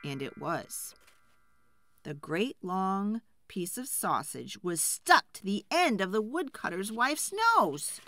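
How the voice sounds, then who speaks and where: A woman reading a children's storybook aloud in an expressive, deliberate storytelling style. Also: mid-range voice, faint music behind.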